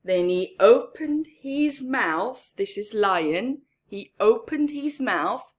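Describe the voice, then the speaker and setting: A woman's voice: fairly high pitch, expressive, takes it slowly, slightly rough. A woman reading a story aloud to young children, expressively, with simple explanatory asides. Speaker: children's story-time reader.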